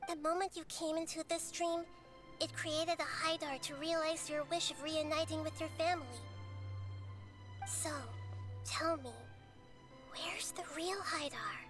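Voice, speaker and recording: A woman voicing a wise young girl deity in a video game, grave and compassionate, with measured, earnest, articulate speech. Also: extremely high pitch, steady tone, music in the background. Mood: calm, probing.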